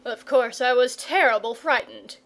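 A woman's voice: fairly high pitch, normal speed, fairly bright tone, close to an everyday voice. A rustic shepherd of a storybook, acted out by a woman. He is an older family man, nervous and easily flustered. He talks in rambling, folksy country dialect. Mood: awed, frightened. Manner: folksy dialect speech, plain-spoken.

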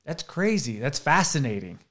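Brief: man in his thirties, excitable interview host, stumbles and restarts, desk mic. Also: on the high side, moderate pace. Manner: enthusiastic, self-deprecating, many restarts. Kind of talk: casual interview chat. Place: indoor studio room with posters. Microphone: desk podcast mic, seen.

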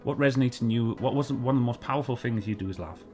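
A man's voice: mid-range voice, very fast, over music. A middle-aged man with a Welsh accent, hosting a casual review chat from his living room sofa, rambling and repeating himself with lots of fillers. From Wales. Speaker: host.

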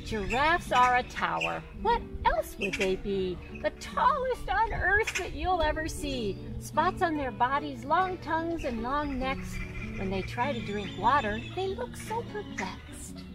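An older woman reads a rhyming children's book aloud outdoors, her fairly high voice swooping up and down in a bouncy, sing-song way. She sounds like a friendly guide at storytime, picked up by a camera a few steps away. Loud music plays underneath her. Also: big pitch swings, unhurried. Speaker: zoo guide and author reading aloud. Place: outdoors by a pond in a zoo.

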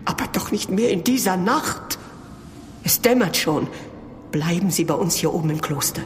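An actor playing a grave, pious monk in a horror audio drama, speaking solemnly and portentously. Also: male voice, high-pitched, expressive, moderate pace, a little hiss or hum. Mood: urgent warning.

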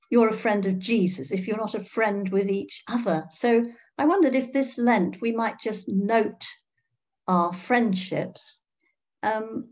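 An older woman giving a reflective sermon in a measured way, pausing often and posing questions to her listeners. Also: mid-range voice, darkish tone. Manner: measured, with pauses and rhetorical questions. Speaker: priest preaching. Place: indoor room at home. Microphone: computer mic on a video call. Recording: dull, muffled video-call audio.

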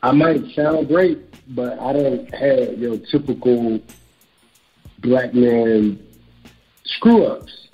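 A man's voice: mid-range voice, very slow, long pauses. A man in his thirties from Chicago, an author and real estate broker, speaking candidly and reflectively in a casual interview. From Chicago, USA. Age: 30s. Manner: candid, reflective, speeds up when quoting himself. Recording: dull lo-fi audio with faint music.

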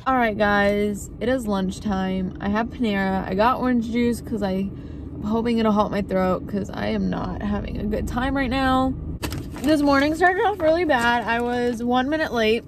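A tired, congested young woman complains casually to a phone propped on her dashboard. Her voice sits a little high. Traffic noise comes through clearly from outside the car. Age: young adult. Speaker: vlogger, cosmetology student. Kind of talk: casual vlog to camera. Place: parked car by a road. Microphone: phone camera on the dashboard.

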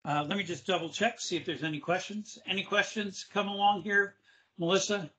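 Male webinar presenter on a video call, slightly hoarse, loose and chatty with fillers, high bright voice. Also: fairly even intonation, fairly quick. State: losing his voice, hoarse. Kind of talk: casual screen-share demo.

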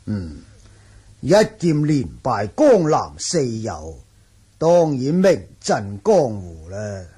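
An actor playing a proud, hearty, wine-loving manor lord with a Hong Kong Cantonese accent, speaking in a bluff, theatrical radio drama style. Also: male voice, mid-range voice, very animated, takes it slowly, warm tone, breathy edge, exaggerated voice. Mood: wary.

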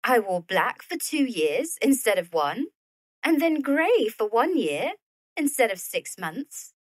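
An actress as a proud young Victorian widow with a high voice that swings expressively. She is formal and indignant, recorded close and dry for an audio drama. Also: very animated, takes it slowly, no echo, full character voice. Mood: hurt, defiant. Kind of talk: period audio drama acting.

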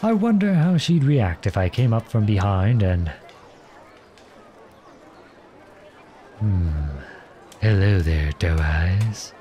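A man playing a charming, gentle, teasing outlawed prince in an ASMR roleplay, courtly, playful and tender in his way of talking. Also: on the low side, expressive, a little slow, soft background music. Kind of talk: ASMR roleplay acting addressed to the listener.

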